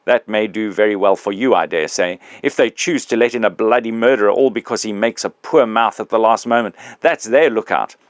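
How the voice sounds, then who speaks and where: A blustering big male ghost, put on by a male narrator raises his voice in coarse, self-righteous complaint. His pitch climbs above an everyday male voice and he sounds loud and indignant. The sound is dry and close, with no room around it. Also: very animated, measured pace, no room sound. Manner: loud, indignant, coarse working-class speech. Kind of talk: audiobook reading.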